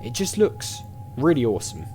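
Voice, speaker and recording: A man's voice: medium pitch, wildly swooping pitch, moderate pace, a little mellow, soft background music. A man hosting a gaming video, giving casual let's play commentary and reading dialogue options aloud.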